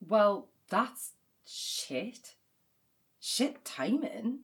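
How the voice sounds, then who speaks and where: A woman's voice: mid-range voice, very animated, very slow, a bit bright, heavily acted. An actress playing a cynical, grieving woman, in a naturalistic audio drama. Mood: upset, indignant. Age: 30s. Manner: sardonic, exasperated. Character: cynical, grieving 37-year-old woman working as an elf.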